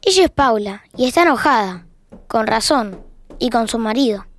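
A woman's voice: a little high, lively intonation, normal speed. A woman with an Argentine accent reading scripted, wry narration for an audio fiction.

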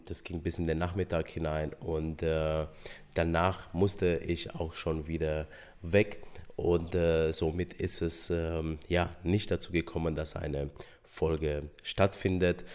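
Deep-voiced hoarse man hosting a podcast. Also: a little mellow. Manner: hesitant, many filler sounds. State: hoarse from a cold. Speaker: podcast host. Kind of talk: casual solo podcast talk.

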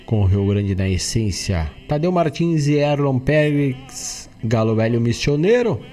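A man's voice: mid-range voice, warm tone. A man with a southern Brazilian gaúcho accent announcing as a radio host. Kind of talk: radio announcing, reading a playlist.

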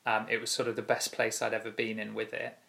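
A man leading a casual church devotional, relaxed with many pauses and fillers, joking with his co-host. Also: on the low side, vocal fry. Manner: relaxed, many ums, playful banter. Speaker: youth church leader.

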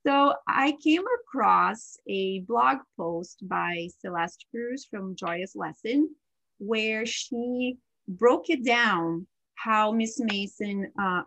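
Woman co-hosting a podcast, portuguese is her first language, chatty w lots of fillers, dry close sound, middle pitch. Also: a bit bright, no echo, rough recording. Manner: chatty, with many fillers and frequent laughs. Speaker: podcast co-host. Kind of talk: casual conversational chat.

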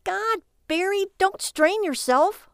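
An exasperated wife as a female narrator acts her out, sarcastic and weary. She scolds in drawn-out, mocking phrases, her voice lifted high and a little gravelly, in a dry storybook recording. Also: animated, no room sound.

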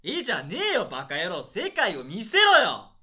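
A man acting as an overbearing, pompous theatre director in a radio drama, gruff and scolding in heated comic dialogue. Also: high-pitched, huge pitch swings, moderate pace, pushed and bright, exaggerated voice. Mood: demanding. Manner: gruff, scolding, rough-spoken.

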